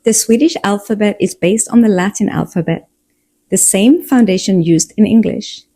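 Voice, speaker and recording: A woman narrating a language lesson in a slow, measured way. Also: mid-range voice, dark tone, no room sound, studio quality.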